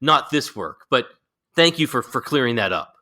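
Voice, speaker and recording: A man hosts a casual podcast interview with a bright, forward voice at a middle pitch. He talks informally and bluntly, with a self-deprecating streak, as he chats with his guest. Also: very animated, normal speed.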